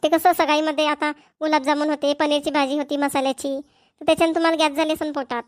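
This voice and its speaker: A woman's voice: sits high, flat delivery, quick pace, dry recording, studio quality, lightly acted. A woman voicing a caring young daughter-in-law in a family cartoon, coaxing and attentive, with a Varhadi Marathi accent. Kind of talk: cartoon family comedy voice acting.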